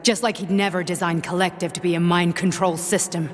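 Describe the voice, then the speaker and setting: A woman's voice: lowish voice, little pitch movement, brisk, in a large hall, lightly acted. A woman voicing a blunt cynical hacker. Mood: cold.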